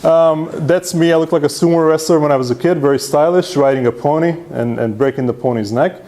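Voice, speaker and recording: A man presents to a meetup crowd. He is self-deprecating and casual, often tags his points with a quick "right?" and restarts his sentences as he goes. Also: fairly high pitch, warm tone. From Serbia. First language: Hungarian. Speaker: presenter at user group meetup. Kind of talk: conference talk with slides.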